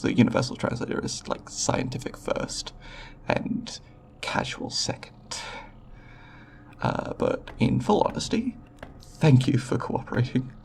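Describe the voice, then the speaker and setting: A timid alien scientist, played by a man, with heavy vocal fry and slow, halting delivery. He is curious, polite and formal, picking his way through scientific wording in an ASMR roleplay. His pitch moves around expressively. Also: a little mellow, slight background noise, heavily acted. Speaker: roleplay voice performer.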